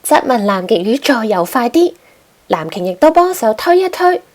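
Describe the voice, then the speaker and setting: A woman's voice: on the high side, sing-song, moderate pace, a little mellow. A woman narrating a children's storybook expressively as host.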